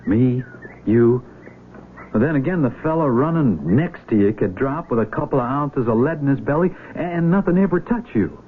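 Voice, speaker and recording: An actor playing an eager, naive young Civil War recruit with a folksy, stammering drawl, heard on a tinny old radio broadcast. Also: male voice, mid-range voice, animated, moderate pace, slight background noise, lightly acted. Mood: earnest. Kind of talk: 1970s radio drama acting.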